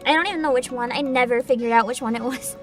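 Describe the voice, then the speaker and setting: A woman's voice: sits high, quick pace, faint music behind. A woman chatting casually as a livestream host, informal and rambling, with a giggly way of talking. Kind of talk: casual livestream chat, explaining and riffing to viewers.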